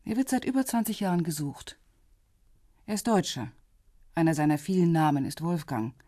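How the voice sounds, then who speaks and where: An actress playing a cool, insistent police intelligence investigator in a radio crime drama, husky, controlled and businesslike. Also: female voice, sits low, sing-song, normal speed, dark tone, dry recording, clean studio sound. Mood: factual, businesslike. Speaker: supporting actor.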